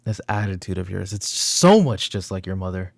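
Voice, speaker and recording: A man playing a weary, short-tempered mafia boss father in a roleplay audio drama, with a gravelly, husky voice and a commanding, curt manner. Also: low voice, sing-song, moderate pace, no echo, heavily acted. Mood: stern, weary. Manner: commanding, curt, slipping into hushed muttering. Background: background music.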